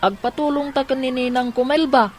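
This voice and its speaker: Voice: high-pitched, fairly even intonation, a little fast, a little hiss or hum, close to an everyday voice. A woman playing a curious, scheming young son in a family audio drama, with a childlike, inquisitive manner. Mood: conspiratorial.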